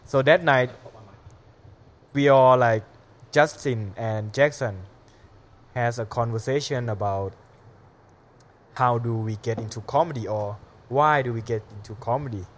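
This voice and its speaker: A man's voice: medium pitch, unhurried, long pauses, slight background noise. A man with a Thai accent, a comedian telling a loose, rambling story on a casual podcast.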